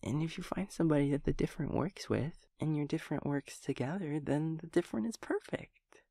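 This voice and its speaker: Man acting a soft, breathy, sweet young daemon. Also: on the high side, lively intonation, dry recording, studio quality. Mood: gentle, reassuring. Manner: halting, repetitive, giggly, gentle rambling. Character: sweet, naive, cheerful young male empathy daemon friend. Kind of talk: intimate audio roleplay acting, one-sided conversation.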